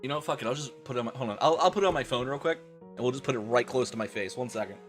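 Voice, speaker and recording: A man's voice: medium pitch, rapid-fire, soft background music. A man live streaming a dramatic reading of fan fiction, breaking off into exasperated asides.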